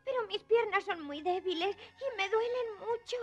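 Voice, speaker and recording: An actress playing a gentle, polite and frail young girl in a dubbed children's cartoon, sweet and earnest. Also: very high voice, expressive, moderate pace, highs cut off, soft background music. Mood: wistful.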